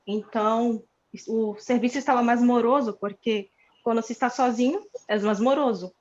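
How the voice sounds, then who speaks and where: A woman sharing a personal story slowly and earnestly at a church study. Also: on the high side, fairly even intonation, darkish tone. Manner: slow, earnest, mixing Portuguese and Spanish. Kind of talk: personal testimony. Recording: muffled lo-fi sound.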